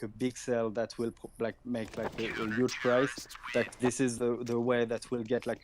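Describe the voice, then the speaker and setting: A man from an auction house explaining a sale in a live audio chat, halting with many fillers and restarts. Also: medium pitch, a little mellow. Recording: noisy stream audio.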